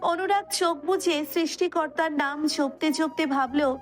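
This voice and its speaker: A woman's voice: sits high, a little slow, faint music behind. A woman narrator reading a romance story as dramatic scripted narration.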